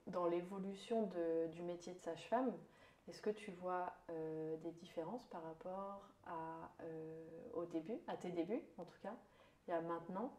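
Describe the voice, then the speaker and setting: A woman's voice: on the low side, steady tone, unhurried, warm tone. A woman hosting a casual podcast interview who asks questions and encourages with brief backchannels. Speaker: podcast host. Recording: echoey room.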